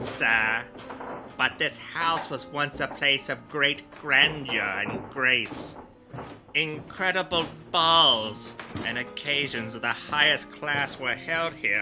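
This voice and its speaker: A man's voice: medium pitch, lightly acted. A man playing an eccentric old butler in a comedic horror audio drama, formal and fussy, drifting into unhinged crooning. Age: older. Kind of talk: comedic horror radio-play acting.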